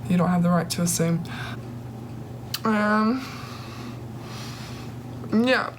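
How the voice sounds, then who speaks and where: Chatty young woman with a deep voice. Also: slow pace, background noise. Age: young adult. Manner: chatty and joking, heavy use of "like" and "literally". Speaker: beauty vlogger. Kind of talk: casual makeup tutorial chat.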